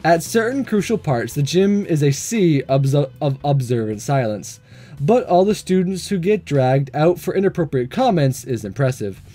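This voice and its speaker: A man with a fairly high, very animated voice reads through a visual novel as a let's-play. He is playful and excitable, joking at his own expense, and music plays softly under him. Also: normal speed. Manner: playful, excitable, self-deprecating. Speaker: gaming video host.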